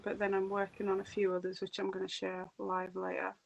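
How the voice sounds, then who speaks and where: A woman's voice: mid-range voice, completely flat delivery, brisk, gravelly, highs cut off, slight background noise. A woman chatting casually as a guest on a live stream, hesitant, with many ums. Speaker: guest artist.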